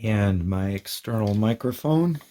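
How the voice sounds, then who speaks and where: A man's voice: fairly low pitch, animated, measured pace, dark tone. A man giving a hands-on tutorial, explaining each step plainly as a hobbyist presenter.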